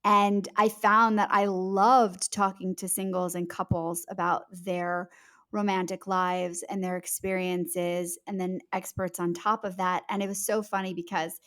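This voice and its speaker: A woman's voice: fairly low pitch, little pitch movement, measured pace, fairly bright tone. Woman author explaining things in an interview. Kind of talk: conversational podcast interview.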